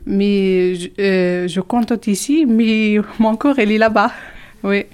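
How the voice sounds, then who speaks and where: Slow, halting woman from Algeria. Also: mid-range voice, steady tone, darkish tone, smooth. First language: not French. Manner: halting, simple French, many hesitations. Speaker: interviewee, French learner. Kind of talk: hesitant interview answers.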